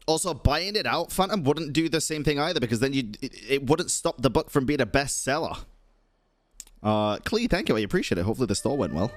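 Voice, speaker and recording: A man's voice: medium pitch, expressive, brisk, no room sound. A man live-streaming a video game, informally reacting aloud and chatting to his viewers. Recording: game music behind the voice.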